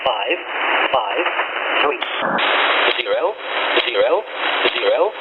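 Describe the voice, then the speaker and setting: A man's voice: mid-range voice, very animated, takes it slowly. A man reading out single digits in a mechanical, clipped, evenly paced way on a noisy lo-fi shortwave radio recording. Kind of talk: flat numbers-station readout, digit by digit.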